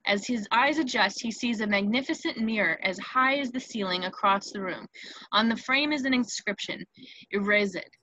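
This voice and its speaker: A woman's voice: a little high, sharp tone, no room sound, rough recording. A woman narrating a screenplay, reading out scene headings and stage directions.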